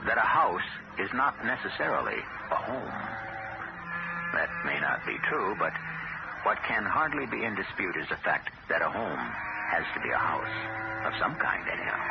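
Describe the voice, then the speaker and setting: Deep gravelly guy hosting an old radio mystery show, measured and musing, muffled sound. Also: big pitch swings, very noisy. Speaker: radio drama host. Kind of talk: scripted narration. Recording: muffled old radio broadcast under loud music.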